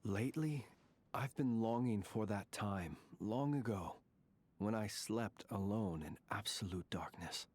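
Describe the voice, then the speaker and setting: An actor playing an ancient dragon prince in a video game, calm and reflective, with formal, measured, courteous speech. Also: male voice, on the low side, slightly rough. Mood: wistful, solemn. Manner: formal, measured, old-fashioned courteous phrasing. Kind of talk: scripted game character dialogue.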